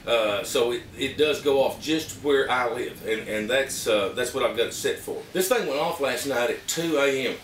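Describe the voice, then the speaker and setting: A man with an Arkansas Southern accent giving a casual, plain-spoken, halting product review to camera. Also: mid-range voice, a bit bright. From Arkansas, United States. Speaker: vlogger reviewing emergency gear. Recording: roomy, distant mic with background noise.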